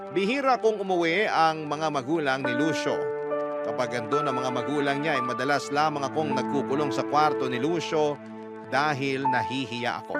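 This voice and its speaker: A man narrating as a troubled young letter-writer in a radio drama, in a measured, sombre storytelling style. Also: fairly high pitch, close to an everyday voice. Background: loud music.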